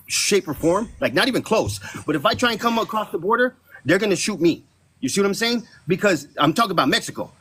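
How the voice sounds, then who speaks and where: A man's voice: sits high, a bit bright, breathy edge. A man from Texas arguing insistently in a heated political debate over a video call. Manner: insistent, argumentative. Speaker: caller.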